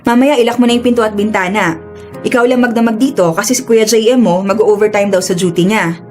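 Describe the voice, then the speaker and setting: A woman's voice: medium pitch, fast talker, darkish tone, faint music behind, lightly acted. An actress playing a protective, caring older sister, a married working woman, in a radio drama. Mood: caring, brisk.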